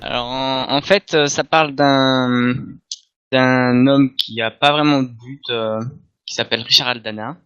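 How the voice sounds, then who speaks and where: A man's voice: medium pitch, steady tone, a bit bright, smooth. Slightly muffled, hesitant Frenchman on a podcast. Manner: hesitant, halting delivery. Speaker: podcast panelist. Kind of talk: casual group discussion.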